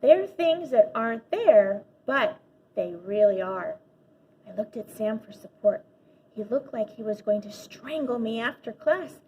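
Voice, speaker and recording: A woman with a fairly high voice reads a children's story aloud in an expressive, animated way. She leans on key words and pauses for drama. The recording is a little dull, with faint noise behind her. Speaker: teacher reading a book aloud. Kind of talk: expressive children's audiobook-style read-aloud.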